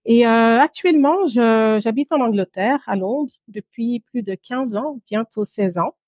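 A woman in her thirties from Guinea and Belgium, telling her story as an interview guest in a measured, pausing way with the odd English word. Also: a little high, darkish tone, no room sound. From Guinea and Brussels, Belgium. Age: 30s. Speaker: podcast guest, entrepreneur.

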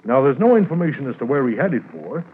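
A man's voice: mid-range voice, sing-song, quick pace, lightly acted. A man playing a determined, authoritative Mountie sergeant in a 1950s radio drama on an old radio recording. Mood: urgent, businesslike. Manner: authoritative, clipped. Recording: tinny, hissy 1950s radio transcription.

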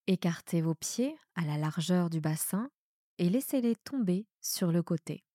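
A woman with a soft voice guiding a relaxation meditation in a calm, soothing manner with measured pauses. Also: lowish voice, no room sound, studio quality. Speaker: yoga nidra guide. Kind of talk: guided meditation, relaxation instruction.

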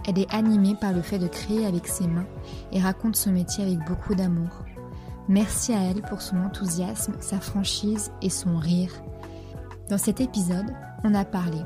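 Woman, podcast host, low pitch, mellow tone, faint music under the intro. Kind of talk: podcast intro then casual interview.

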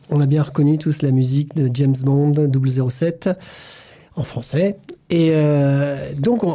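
A man's voice: a little high, dark tone. A man hosting a casual radio interview, chatty and laughing easily, drawing out some words. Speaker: community radio host.

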